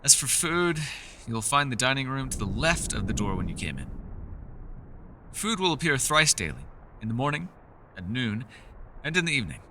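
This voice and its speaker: A man voicing a centuries-old elf in a fantasy roleplay, gentle, socially awkward and chatty, with formal, old-fashioned, apologetic phrasing. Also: medium pitch, sing-song, moderate pace, long pauses, slight background noise, exaggerated voice. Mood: welcoming. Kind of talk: fantasy ASMR roleplay voice acting.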